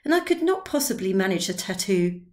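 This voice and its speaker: Woman voicing a skeptical young painter, blunt, flat, deliberate, read-aloud story. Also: medium pitch, close to an everyday voice. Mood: dismissive.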